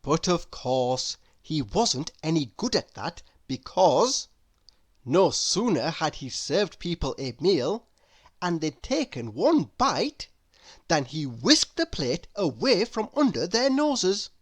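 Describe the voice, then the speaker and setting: Slow, very lively woman reading a storybook. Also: deep voice. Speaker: storybook reader. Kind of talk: expressive children's read-aloud narration.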